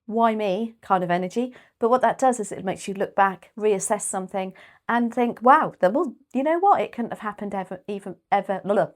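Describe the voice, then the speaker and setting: Chatty British woman, slightly croaky. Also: medium pitch, brisk. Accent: British English. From United Kingdom. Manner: chatty, rambling, clears throat often. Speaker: tarot reader. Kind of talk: casual tarot reading.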